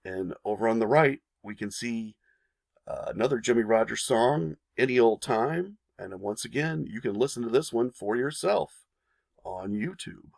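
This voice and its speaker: Man, gravelly voice, mid pitch, dry close mic, reads narrated history with casual viewer asides. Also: no echo. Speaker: history channel host.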